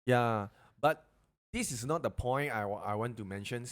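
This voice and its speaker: A man with a Malaysian Chinese English accent, co-hosting a podcast in casual chat, halting and repeating words, with colloquial particles. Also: mid-range voice. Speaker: podcast co-host.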